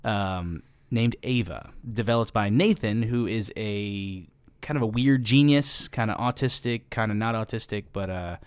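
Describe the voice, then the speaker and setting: Rambling low-voiced podcast guy. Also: moderate pace, clean tone, no room sound, studio quality. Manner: rambling, lots of ums and restarts, laughs easily. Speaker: podcast co-host. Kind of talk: casual chat summarizing a movie plot.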